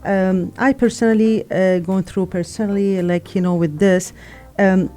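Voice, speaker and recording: Earnest mellow-voiced woman from Syria. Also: medium pitch, steady tone, normal speed, faint music behind. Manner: earnest, reflective, many "you know" fillers. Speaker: guest. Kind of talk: casual radio chat.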